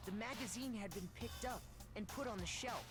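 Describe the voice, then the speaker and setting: A woman's voice: a little high, a little fast, close to an everyday voice. A woman voicing an earnest young student, insistent and probing, in an anime video game. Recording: loud game music behind.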